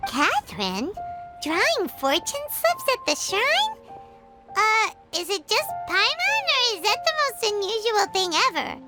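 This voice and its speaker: 아주 높고 앳된 게임 요정. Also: female voice, wildly swooping pitch, a little slow, heavily acted. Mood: surprised. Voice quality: squeaky. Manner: refers to self in third person, exclamatory. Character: small childlike fairy companion, excitable and easily spooked. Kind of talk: video game voice acting. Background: music.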